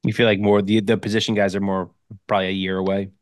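A man's voice: lowish voice, level tone, fast, no room sound, studio quality. A man asking casual questions as a podcast interviewer.

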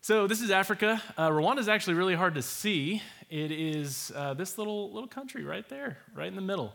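A man's voice: sits high, fairly quick, gravelly. A young man hosting a church talk, walking through maps and photos in an easy manner with many fillers. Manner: relaxed, many fillers, laughs easily. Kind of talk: presenting with slides, casual.

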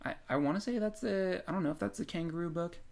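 A man's voice: high-pitched, fast talker, gravelly, a little mellow. A man co-hosting a podcast, chatting casually about books in a rambling, geeky, informal way. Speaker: podcast co-host. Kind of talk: casual book discussion chat.

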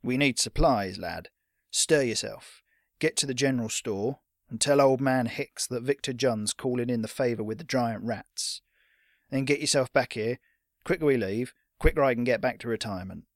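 A man voicing a gruff old retired fighter in a storybook, with a curt, menacing manner. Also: mid-range voice, normal speed, no echo, studio quality, close to an everyday voice. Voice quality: rough.

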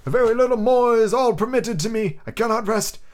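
A man voicing a tormented old male ghost in chains, speaking in a mournful, drawn-out lament. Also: extremely high pitch, voice pushed up. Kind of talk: audiobook character reading.

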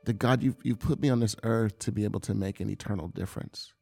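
Earnest gravelly-voiced man giving testimony. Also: lowish voice, mellow, soft background music. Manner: earnest, confessional, halting with repeated words. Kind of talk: personal testimony sermon.

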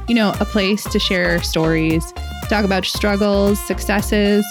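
A woman who hosts a podcast, reading the show's scripted opening in a measured, list-like delivery. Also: lowish voice. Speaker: podcast host. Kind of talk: scripted show intro over music. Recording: music playing under the voice.

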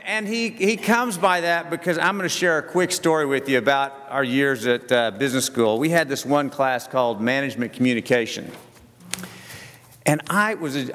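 A middle-aged or older man, giving a folksy, halting, self-deprecating talk to a group. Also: mid-range voice, fairly bright tone. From southern United States. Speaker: speaker addressing a church group. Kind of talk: personal talk with stories and Bible reading. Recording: long echoing hall reverb.

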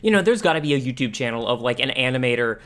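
A man co-hosting a podcast, talking casually and thinking aloud in a halting way. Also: mid-range voice, lively intonation, a bit bright. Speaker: podcast co-host. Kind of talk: casual discussion of animation.

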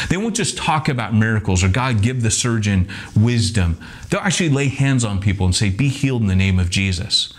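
A man teaching about church leadership in an emphatic, preaching style, building lists and rhetorical flourishes. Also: fairly low pitch.

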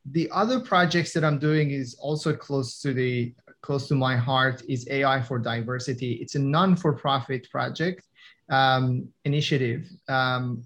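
A young man whose first language is not English, speaking as a guest in an interview, answering hesitantly with frequent pauses and fillers. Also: medium pitch, fairly bright tone. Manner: hesitant, many fillers and restarts. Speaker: guest, tech entrepreneur. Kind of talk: conversational interview.

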